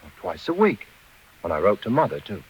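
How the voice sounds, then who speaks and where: A man's voice: mid-range voice, huge pitch swings, brisk, creaky, slightly rough, exaggerated voice. A man acting an earnest, plain-spoken young village bridegroom in a 1940s radio drama. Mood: tender. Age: young adult. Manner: earnest, straightforward. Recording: muffled 1940s radio recording.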